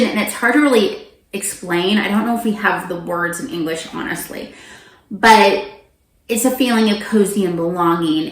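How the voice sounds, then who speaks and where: A woman's voice: medium pitch, fairly bright tone, echoey room, low-quality recording. A middle-aged woman chatting casually and animatedly to viewers as a book vlogger, often interrupting herself with informal asides. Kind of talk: casual, chatty monologue to camera.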